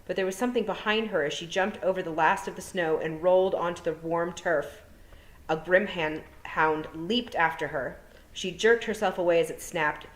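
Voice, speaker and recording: Low-voiced woman reading a storybook aloud. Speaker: storytime reader, teacher. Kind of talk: storybook narration read aloud. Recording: roomy echo.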